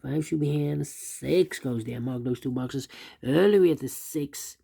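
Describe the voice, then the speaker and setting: Gravelly man talking through a puzzle. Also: mid-range voice, normal speed, mellow. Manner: methodical, repeats numbers to himself. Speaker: sudoku instructor. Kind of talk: thinking aloud while solving a puzzle.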